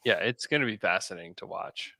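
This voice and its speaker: A man's voice: fairly low pitch, lively intonation, quick pace, sharp tone, no room sound, poor audio. A man hosting a sports podcast, discussing basketball in a casual, conversational way.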